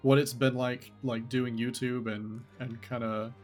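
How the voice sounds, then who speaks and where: A man's voice: medium pitch, unhurried, faint music behind. A man hosting a casual podcast interview, asking loose, rambling questions. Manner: relaxed, rambling questions with many likes and restarts. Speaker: podcast host.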